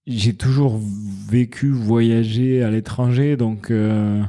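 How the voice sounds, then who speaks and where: A man's voice: medium pitch, fairly even intonation, takes it slowly, dark tone. A man, a company founder, talking casually in a podcast interview and explaining his ideas earnestly while thinking aloud.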